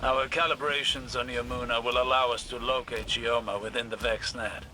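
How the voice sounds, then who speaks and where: An actor playing a sober, doubtful scholar in a sci-fi game, speaking formally and gravely. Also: male voice, medium pitch, flat delivery, normal speed, pushed and bright. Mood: sober, apprehensive. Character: sober, doubtful male scholar fearing failure. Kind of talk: scripted sci-fi game dialogue. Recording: game dialogue over clear ambient noise.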